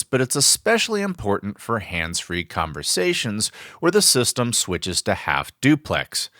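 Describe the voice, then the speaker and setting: A man's voice: mid-range voice, pushed and bright, dry recording, clean studio sound. A man reading scripted product training narration in a polished, instructional presenter style. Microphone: close studio voice-over mic, dry.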